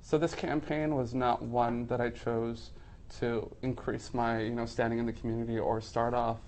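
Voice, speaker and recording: A man in his twenties from Somalia, a city council candidate speaking earnestly and in a measured way to an editorial board. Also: mid-range voice, little pitch movement, darkish tone, a little hiss or hum. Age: 20s. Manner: earnest, measured, speech-like delivery. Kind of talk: editorial board interview statement. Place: newspaper meeting room, indoors.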